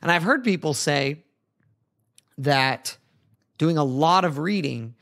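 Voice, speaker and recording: A man hosting his own podcast, talking solo in an earnest, chatty way with a hoarse, raspy voice from losing it. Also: on the high side, expressive, slow, a bit bright. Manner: earnest, chatty, self-correcting. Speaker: podcast host.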